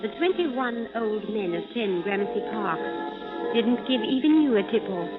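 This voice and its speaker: Over a tinny vintage radio recording with loud music, a woman acts a dainty young female agent with a slightly high voice. She is sassy, coy and sure of herself in 1940s adventure-serial style. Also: expressive, moderate pace, close to an everyday voice. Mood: cocky. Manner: coy, self-assured, teasing.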